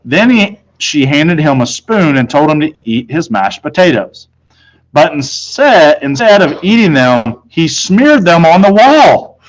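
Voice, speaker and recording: A man's voice: on the high side, very animated, unhurried, rough recording. A man reading a children's novel aloud as a teacher would, narrating in the first person.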